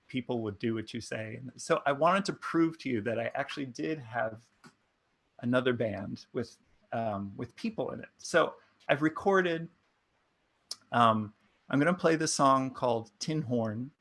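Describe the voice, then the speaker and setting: A man's voice: mid-range voice, fairly bright tone. A man co-hosting a home livestream in casual, rambling chat with a wry, whimsical manner. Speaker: livestream co-host and musician.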